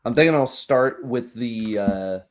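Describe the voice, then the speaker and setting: A man's voice: medium pitch, a little slow. Rambling man running a tabletop game. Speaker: game master. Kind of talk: casual chat setting up a tabletop roleplay session.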